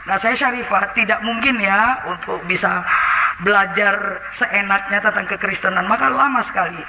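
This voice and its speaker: A woman's voice: mid-range voice, moderate pace. A woman from Indonesia giving a conversational church testimony through a face mask, full of hesitations and often turning to address the congregation. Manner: chatty, many hesitations, often addresses the audience. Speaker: lay preacher giving testimony. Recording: echoey hall with background noise.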